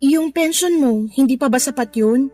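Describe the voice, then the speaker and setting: An actress playing a pregnant, money-minded young mistress in a Filipino radio drama, coaxing, clingy and sweet. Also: female voice, high voice, moderate pace, dark tone, lightly acted. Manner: wheedling, clingy, placating. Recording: music bed under the dialogue.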